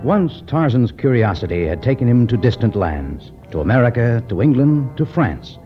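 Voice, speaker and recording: Male narrator, lively range, grave storytelling, faint music, old radio. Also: mid-range voice, moderate pace. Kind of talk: scripted 1950s radio drama narration. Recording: dull 1950s radio recording.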